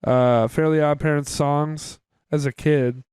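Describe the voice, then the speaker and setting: A young man talks casually as a podcast co-host, giving candid opinions in informal, sweary language. His voice sits a little high and is very clear and smooth. The sound is dry, with no room on it. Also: fairly even intonation, measured pace, darkish tone, dry recording. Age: young adult. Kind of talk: casual review chat.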